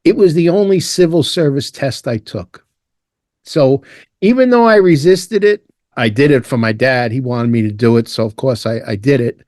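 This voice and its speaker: Older man, relaxed and rambling interview guest, dry close mic. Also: mid-range voice, moderate pace, no room sound. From Ireland, raised in Brooklyn. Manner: relaxed, rambling, with restarts and asides. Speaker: guest, retired fire lieutenant. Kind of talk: casual storytelling interview.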